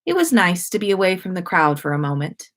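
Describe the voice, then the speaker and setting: A woman hosting a story-time livestream, speaking in an expressive, informal way as she reads and chats. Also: fairly low pitch, a little fast. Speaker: host of a fan fiction story-time livestream. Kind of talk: expressive story reading and casual livestream chat.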